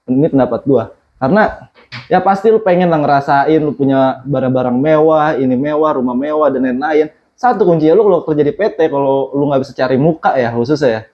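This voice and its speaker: A man hosting a casual podcast chat, breezy and slangy, laughing often and chiming in quickly. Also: fairly high pitch. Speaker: podcast host. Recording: dull, slightly muffled sound.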